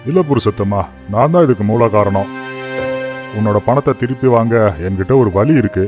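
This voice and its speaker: A man's voice: lowish voice, expressive, brisk, over music, lightly acted. A man voicing a kindly, shrewd rich farmer and employer in a cartoon moral story, straightforward and concerned. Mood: indignant, remorseful.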